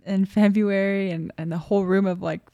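A woman hosting an interview, asking long rambling questions that trail off softly. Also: medium pitch, fairly even intonation, fairly quick, dry recording, muffled recording. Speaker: podcast host interviewer. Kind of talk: warm conversational interviewing.

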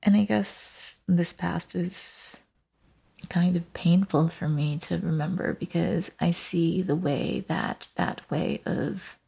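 A reflective woman speaks slowly about her own life, pausing to search for words. Her voice is on the low side and very creaky, with a halting, thoughtful delivery. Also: warm tone. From Taiwan and western Canada. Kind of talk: reflective personal narrative.

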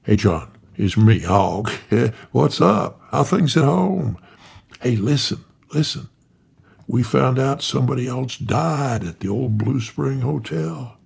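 Brief: a man playing a rough, jokey male hiking pal, slow and gravelly. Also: medium pitch, expressive, a little mellow, heavily acted.

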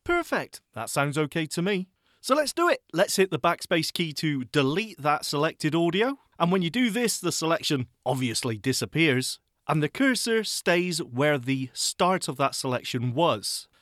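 Lively, chatty male tutorial host. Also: on the high side, normal speed, no echo, studio quality. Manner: chatty, self-mocking, animated asides. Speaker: podcast host teaching audio editing. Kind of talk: screen-reader software tutorial.

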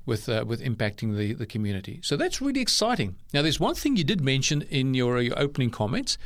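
Male interview host, friendly and enthusiastic, average pitch, conversational. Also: big pitch swings, quick pace. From South Africa. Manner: friendly, enthusiastic, asks questions.

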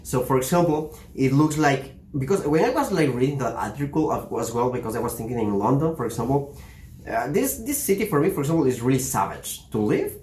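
A man's voice: medium pitch, very animated, a little mellow, slight background noise. Stumbling young man in an echoey room. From Ecuador, raised in Spain. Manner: stumbling, halting non-native English. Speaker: podcast co-host. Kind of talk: casual chat.

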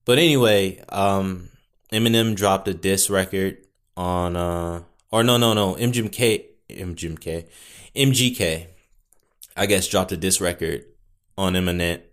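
Solo podcast host, young man with a low booming voice; loose, wandering delivery full of wry asides. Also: unhurried, clear, resonant tone. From Northwest Indiana. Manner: rambling, self-correcting, wry asides. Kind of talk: casual solo podcast chat. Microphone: studio podcast mic.

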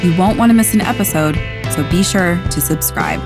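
A woman's voice: fairly low pitch, sing-song, a little fast, music in the background. A woman hosting a podcast interview, talking in an enthusiastic, effusive way. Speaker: podcast host.

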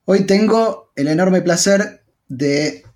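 A man's voice: high-pitched, takes it slowly. Man hosting a podcast interview. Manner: relaxed, with hesitations and fillers. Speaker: podcast host and sociologist. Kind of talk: conversational interview.

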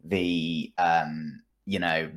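A man's voice: very deep voice, level tone, extremely slow, a bit bright. A man speaking as a podcast guest, giving casual, informal opinions in a hedging, self-deprecating, conversational way. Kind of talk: casual chat, informal game review.

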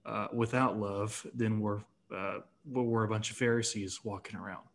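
A man's voice: fairly low pitch, steady tone, moderate pace, slight background noise. A man in his twenties, a guest author in a casual interview, talking earnestly with many restarts and fillers. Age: 20s.

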